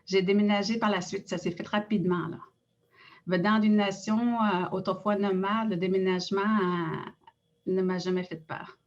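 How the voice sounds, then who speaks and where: A middle-aged Innu woman with a Quebec French accent, reading a prepared personal account in a measured way with frequent hesitations. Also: medium pitch, steady tone, muffled recording. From Innu community in Quebec. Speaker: director of an Innu cultural institute.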